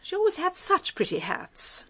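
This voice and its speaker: A woman's voice: very high-pitched, huge pitch swings, moderate pace, fairly bright tone, heavily acted. An actress playing a chatty, gossipy married woman in a radio mystery, airy, rambling and breezily opinionated. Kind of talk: British radio mystery drama.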